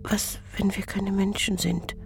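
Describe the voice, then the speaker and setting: An actress playing a troubled woman haunted by experiments, in an intimate, breathy, halting radio drama monologue. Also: mid-range voice, little pitch movement, soft background music. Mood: hushed horror. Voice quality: breathy, whispery. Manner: slow, hushed, halting delivery. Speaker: actor.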